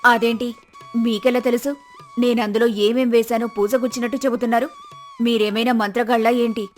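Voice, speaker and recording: A fearful, suspicious village wife, acted by a woman for a moral tale. Her high voice frets and scolds in a sharp-tongued way, with faint music underneath. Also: little pitch movement, a little fast, lightly acted. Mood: alarmed. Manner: fretful, scolding. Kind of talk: acted moral story dialogue.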